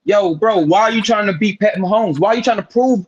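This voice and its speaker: A man's voice: sits high, brisk, lo-fi sound. Brash man on a fired-up sports rant. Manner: profane, emphatic ranting with repeated words. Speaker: podcast co-host. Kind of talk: casual sports talk.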